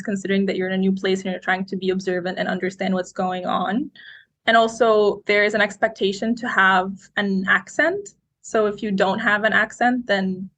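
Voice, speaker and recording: A woman in her twenties, raised in Egypt, answering interview questions in a talkative, outgoing, expressive way. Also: mid-range voice. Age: 20s. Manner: expressive, quotes people in lively voices. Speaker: guest.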